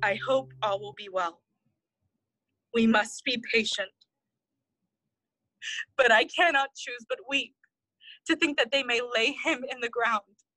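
A young noblewoman lost in grief and madness, high and slow in her speech. She wanders brokenly between English and Spanish in a Shakespearean audio play, fragile and close on a dry recording. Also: sharp tone, no room sound, poor audio.

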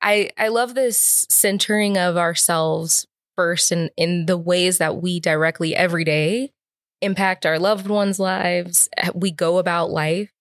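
A woman's voice: on the low side, fairly even intonation, measured pace, dry recording. Woman co-hosting, very dry sound. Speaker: podcast co-host. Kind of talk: introducing guests, conversational.

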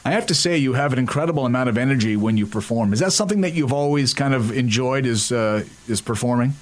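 A man's voice: medium pitch, expressive, quick pace. A man from French Canada hosting an interview show, asking long, rambling questions with many fillers. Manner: rambling, filler-heavy phrasing.

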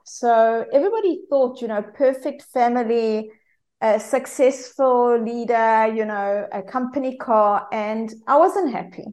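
A woman's voice: on the high side, a little mellow. South African woman telling her story candidly. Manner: candid, reflective, frequent fillers. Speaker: guest mindfulness coach. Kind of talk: personal storytelling in interview.

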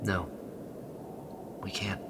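A man playing an earnest, protective rebel leader in a sci-fi radio drama, speaking reassuringly with breathy pauses. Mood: somber, determined.

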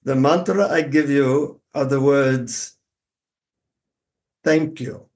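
A man's voice: mid-range voice, takes it slowly, a little mellow. A man voicing a serene, insistent Indian guru in a put-on Indian accent, speaking in a solemn, instructive way.

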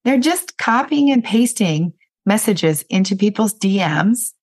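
A woman hosting a solo podcast for coaches, raspy from a cold, talking directly and encouragingly to her listeners. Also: mid-range voice, animated, measured pace, darkish tone. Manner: direct, encouraging, addresses listeners as you guys. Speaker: podcast host and business coach.

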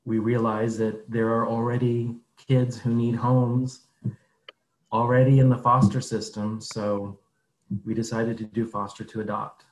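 Guest: man in his fifties, measured and plain, echoey room sound. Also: mid-range voice, level tone, a little mellow. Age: 50s. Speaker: podcast guest. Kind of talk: casual interview answers.

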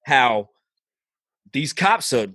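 A man's voice: medium pitch, very animated, extremely slow, bright, forceful tone, breathy edge, no room sound, studio quality. A man hosting a podcast, talking in casual, profane street slang with lots of energy. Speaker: podcast host.

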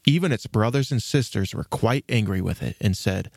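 A man reading scripted storybook narration as the narrator, in an expressive storytelling manner. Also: lowish voice, normal speed, gravelly, no echo.

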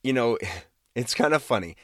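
A male podcast host with an American accent gives a casual devotional talk in a very lively, swooping voice. He is conversational and emphatic, repeating words to drive points home. The recording is close and dry. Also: medium pitch, normal speed, no echo. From Boston area, United States.

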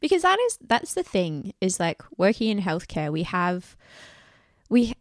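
A woman's voice: mid-range voice, sing-song, measured pace, no echo, studio quality. A woman co-hosting a casual podcast, giggly and earnest, rambling loosely as she talks. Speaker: podcast co-host. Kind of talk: casual chat.

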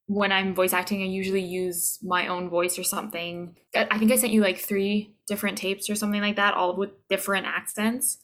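A woman's voice: mid-range voice, level tone, a little fast, few pauses, fairly bright tone, slight background noise. A woman chatting casually as an interview guest, with emphatic repeats and checks for agreement.

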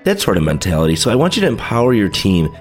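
A man's voice: lowish voice, huge pitch swings, quick pace, soft background music. A man hosting a podcast, talking casually with lots of fillers and sounding stuffy from a head cold. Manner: chatty, loose, lots of fillers. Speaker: podcast host. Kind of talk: casual solo podcast talk.

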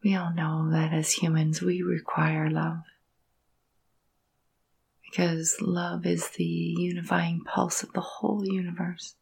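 A woman leading a guided meditation in a soft, breathy, husky voice, gentle and soothing, with long pauses between phrases. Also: fairly low pitch, little pitch movement. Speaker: yoga nidra meditation guide.

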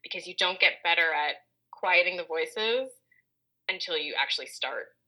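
A woman's voice: on the low side, animated, moderate pace, pushed and bright, rough recording. A woman co-hosting a reflective, conversational talk, speaking candidly and explaining things with plain, relatable examples. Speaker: co-host.

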